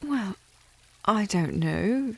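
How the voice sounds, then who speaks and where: Woman acting snooty British noble lady, creaky and coy. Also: mid-range voice, expressive, extremely slow, darkish tone, slight background noise, exaggerated voice. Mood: prickly, wounded. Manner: prim, snooty, formal phrasing with coy indirectness. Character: haughty, flustered young noble lady of the house, coy. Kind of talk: intimate ASMR historical romance audio roleplay.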